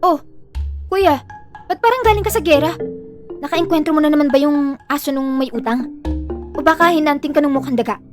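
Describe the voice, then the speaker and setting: Teasing student kid sister played by a woman, bright-spirited sass in a high voice, audio drama. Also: a little fast, long pauses, music in the background, close to an everyday voice. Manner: teasing, sassy. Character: cheeky, teasing young younger sister, a student. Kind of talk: Filipino audio drama acting.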